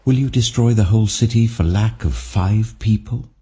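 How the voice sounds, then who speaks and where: A man's voice: lowish voice, normal speed, warm tone, pitched above the performer's own voice, natural voice. A British man voicing a very old, humble patriarch who speaks earnestly and deferentially, as if imploring, in a scripture story. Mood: earnest pleading.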